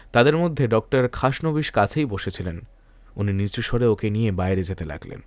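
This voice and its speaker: A man's voice: on the low side, a little mellow, no echo. A man narrating a scripted detective thriller in a literary, dramatic storytelling manner.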